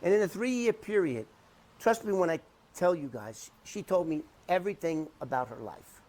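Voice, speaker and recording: A middle-aged man talking unscripted to the camera in an emphatic, repetitive, halting way as he rebuts claims. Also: high-pitched, animated, darkish tone. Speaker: interview commentator debunking someone's claims.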